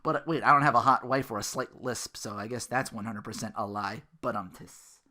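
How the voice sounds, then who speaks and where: A podcast host giving casual, exasperated and sarcastic commentary in an animated way, swearing freely. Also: mid-range voice, a little fast. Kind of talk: casual ranting commentary about an internet personality.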